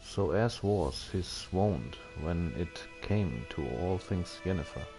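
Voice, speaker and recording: A man doing casual gameplay commentary, halting as he thinks aloud and reads on-screen text. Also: low voice, animated. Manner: halting, musing, puzzled asides. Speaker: gaming video host. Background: game music.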